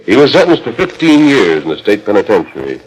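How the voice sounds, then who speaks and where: A man with a low voice narrates a radio serial over music, in 1940s announcer style. His delivery is formal and dramatic, on an old transcription disc. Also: big pitch swings, fast, rough recording.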